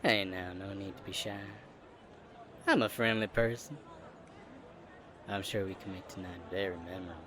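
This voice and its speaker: A man's voice: low voice, normal speed, long pauses, background noise, lightly acted. A man playing a smarmy, cowardly flirt with a Southern US accent in a Western romance audio drama. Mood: smooth-talking, flirtatious.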